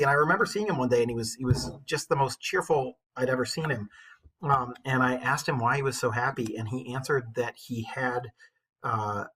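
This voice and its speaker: A man's voice: medium pitch, a bit bright, husky, poor audio. A man speaking as an English teacher in an informal recorded lesson, conversational and slangy, explaining things through commentary and anecdote. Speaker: high school English teacher.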